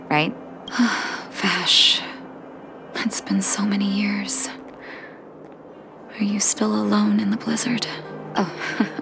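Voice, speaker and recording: A woman voicing a gentle, resolute doctor and leader in a video game, soft, measured and thoughtful. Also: mid-range voice, creaky. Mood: wistful, quiet.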